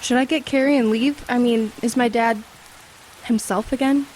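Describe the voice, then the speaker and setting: A woman's voice: a little high, steady tone, normal speed, a little mellow, slight background noise, close to an everyday voice, low-quality recording. A young woman playing an earnest, anxious but resolute teenage girl who narrates and acts in an audio horror drama. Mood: subdued. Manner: earnest, urgent in dialogue, reflective in narration. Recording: rain and ambience behind the voice.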